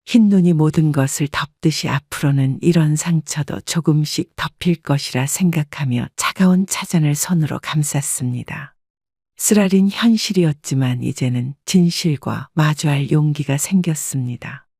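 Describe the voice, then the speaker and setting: A woman narrating a first-person family story in a steady, scripted storytelling voice. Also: low-pitched, dry recording, studio quality. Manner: steady, even-paced storytelling.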